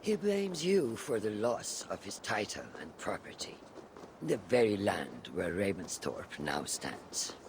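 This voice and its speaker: An actor playing a seasoned older assassin mentor in a video game, shrewd and patient, speaking in measured, formal, archaic phrases. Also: male voice, on the low side, sing-song, background noise. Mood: grave. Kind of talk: dramatic video game dialogue.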